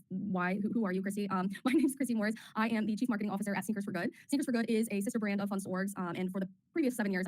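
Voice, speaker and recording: A woman in her thirties hosting a webinar in a bubbly, chatty way, her voice slightly raspy as she gets over a cold. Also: mid-range voice. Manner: bubbly, chatty, many ums. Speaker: webinar host, marketing officer. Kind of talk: casual presenting on a webinar.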